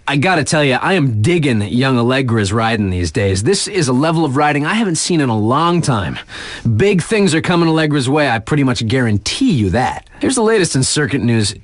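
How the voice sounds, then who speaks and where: A cool, flirty radio DJ from a snowboarding video game. His mid-pitched male voice swings widely up and down as he delivers slangy, emphatic competitor updates. The sound is dry, like a studio booth. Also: very animated, fairly quick, no echo. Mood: upbeat, confident.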